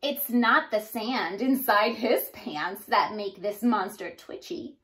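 A woman in her thirties giving an expressive storybook read-aloud for young children, like a teacher addressing her class. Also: mid-range voice, takes it slowly. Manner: animated, addressing young children, sounding out rhymes. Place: small indoor room.

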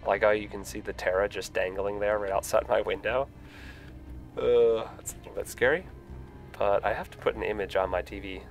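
A man's voice: on the low side, fairly quick, vocal fry, soft background music. Stuffy-voiced man streaming a game. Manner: relaxed, rambling, frequent fillers. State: stuffy from a cold. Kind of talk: casual live-stream game commentary.

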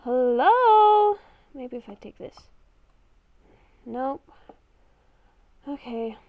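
High-pitched woman in slow, roomy game roleplay. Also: very animated, narrow, tinny audio. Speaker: gaming video creator playing a roleplay character. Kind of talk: improvised in-character game roleplay.

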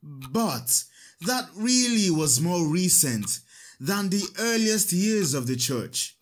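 A kind scholarly priest in an audio drama, slow patient teacher, really expressive pitch. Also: male voice, heavily acted. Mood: patient teaching. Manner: patient, explanatory, measured.

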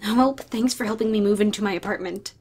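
A woman's voice: medium pitch, fairly quick, a little mellow, slight background noise, lightly acted. Playful young woman played by an actress. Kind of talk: audio drama acting.

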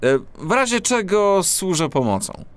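A man playing an eager, quick-witted cat police detective who narrates a children's audio drama. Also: high-pitched, very animated, smooth, no echo. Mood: excited. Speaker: lead character and narrator.

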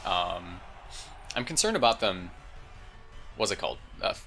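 A man with a fairly low but very bright voice gives casual game commentary, sounding a little congested. He thinks aloud in an informal way over game music. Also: sing-song, measured pace, vocal fry. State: slightly congested. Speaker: gaming video host.

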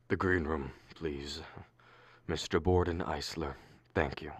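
A man playing an exasperated, blustering middle-aged father and husband in a radio comedy play, halting and strained. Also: rumbling low, fairly even intonation, dry recording, full character voice. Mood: subdued. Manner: halting, throat-clearing, erupting when frustrated. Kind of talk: stage comedy acting for radio.

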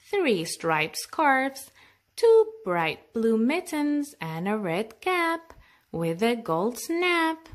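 A woman reading a picture book aloud for children in a sing-song way, with chatty asides to young listeners. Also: sits high. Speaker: children's storytime host.

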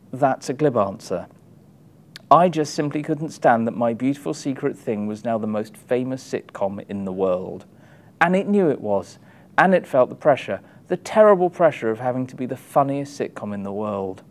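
A man in his thirties giving an expressive, wry reading from his book, with emphatic delivery and dramatic pauses. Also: medium pitch. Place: small indoor room, publisher's backdrop. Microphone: lapel mic.

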